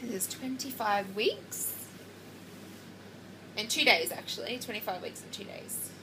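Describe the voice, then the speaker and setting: A woman's voice: mid-range voice, animated, unhurried, noisy background. A woman, chatting casually to camera about her pregnancy in a rambling way with lots of ums and likes. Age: 20s. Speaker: pregnancy vlogger.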